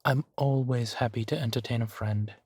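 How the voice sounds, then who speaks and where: A man playing a courteous, gently protective vampire gentleman in an intimate roleplay audio drama, with formal, measured phrasing. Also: medium pitch, steady tone, breathy edge, no room sound, lightly acted. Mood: hospitable, pleased. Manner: formal, polite, measured phrasing with soft sighs.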